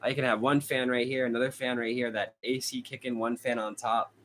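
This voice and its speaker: A man's voice: medium pitch, flat delivery, fairly quick, a bit bright, a little hiss or hum. A young man with a Boston accent hosting a casual true crime livestream, chatting with viewers, reading their comments aloud and laughing often. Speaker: true crime channel host. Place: hot room at home.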